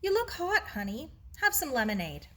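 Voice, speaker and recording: A kindly, composed aunt from a storybook , voiced by a woman. She sounds affectionate and reassuring, with a bright, animated delivery. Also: sits high, normal speed, pitched above the performer's own voice, heavily acted.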